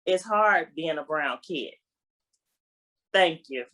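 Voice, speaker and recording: A woman's voice: low voice, animated, slow, a bit bright. A woman reading her own poem aloud as a spoken-word recital. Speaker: poet reading own work.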